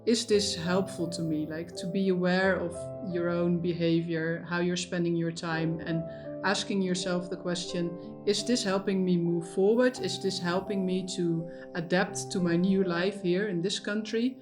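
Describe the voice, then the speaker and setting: A woman counselor giving measured advice in an interview. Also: fairly low pitch, steady tone, music in the background. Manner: measured, explanatory. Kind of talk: advisory interview.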